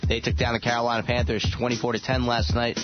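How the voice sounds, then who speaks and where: A man's voice: mid-range voice, monotone, fast talker. Young man anchoring scripted sports update, slightly lo-fi radio sound with music behind. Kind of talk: scripted news read. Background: music bed.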